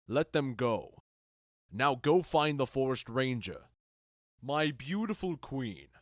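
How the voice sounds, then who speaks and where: An actor playing a proud, lordly duke in an audio drama of modernized Shakespeare. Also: male voice, medium pitch, no echo, clean studio sound, lightly acted.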